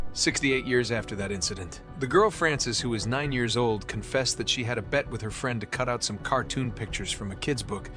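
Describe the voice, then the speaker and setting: A man's voice: a little high, fairly bright tone. A man narrating an explainer video as a comic voice-over, playful and jokey, talking straight to the viewer.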